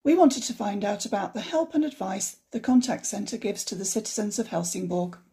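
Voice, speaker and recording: A woman hosts an interview podcast, her voice sitting at an everyday middle pitch. She opens the show and asks her guest questions in a friendly, encouraging way. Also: expressive, brisk, dark tone, soft background music. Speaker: podcast host.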